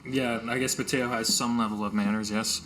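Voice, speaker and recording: A man's voice: mid-range voice, flat delivery, brisk, a bit bright. Casual male tabletop player, noisy background. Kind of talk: casual improvised role-play chat. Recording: noticeable background noise.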